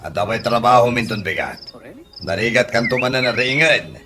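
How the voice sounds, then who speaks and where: A man's voice: mid-range voice, expressive, fairly quick, bright, forceful tone, a little hiss or hum. A man with an Ilocano accent playing a nagging older male relative in a comedy radio drama.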